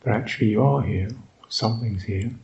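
A man guiding a meditation as a Buddhist teacher, speaking reflectively in short, halting phrases with long pauses. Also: fairly low pitch, gravelly, a little mellow, dull audio. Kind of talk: guided meditation, unscripted and reflective.

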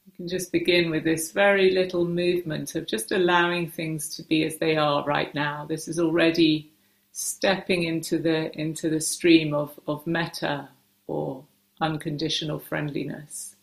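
A woman meditation teacher giving gentle guided instruction in short, unhurried phrases with hesitant pauses, recorded in a roomy, echoing space. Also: deep voice, flat delivery, vocal fry.

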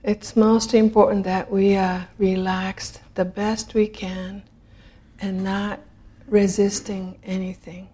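A woman leading a guided meditation in a gentle, unhurried manner, leaving long pauses between phrases. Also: mid-range voice, little pitch movement, slightly rough. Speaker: meditation teacher.

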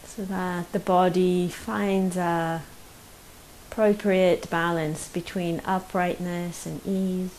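Slow, low-pitched woman guiding meditation. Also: level tone, rough recording. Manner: gentle, unhurried, long pauses. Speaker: meditation teacher. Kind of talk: guided meditation. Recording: faint hiss behind the voice.

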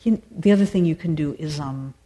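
Mellow-voiced woman teaching meditation. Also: sits low, fairly quick. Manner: thoughtful, searching, many fillers and restarts. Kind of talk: answering audience questions after a dharma talk.

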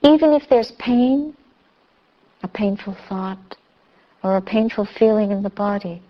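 A woman meditation teacher giving guided instruction in a measured, soothing way, with long pauses between phrases. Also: mid-range voice. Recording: slightly dull, muffled recording.

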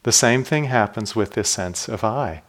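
A man teaching meditation in a dharma talk, calm and reflective, putting questions to his listeners. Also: fairly low pitch, normal speed. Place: retreat center hall.

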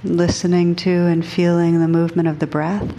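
Female meditation teacher, slightly low pitch, flat steady intonation, gentle, unhurried, long pauses. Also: a little hiss or hum. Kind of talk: guided meditation, softly instructing a group.

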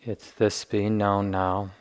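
Male meditation teacher, gentle delivery, very slow pace, flat steady intonation, long pauses, deliberate phrasing. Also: lowish voice, clean tone. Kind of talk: guided meditation instruction.

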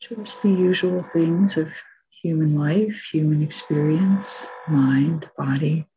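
A woman teaching meditation, giving calm, gentle spoken guidance with long pauses between phrases. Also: sits low, dull audio, slight background noise. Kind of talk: guided meditation, soft spoken instruction.

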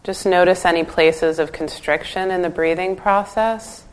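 Low-pitched woman guiding meditation. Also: clean tone. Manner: calm, gentle instructing with pauses. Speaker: meditation teacher. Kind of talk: guided meditation.

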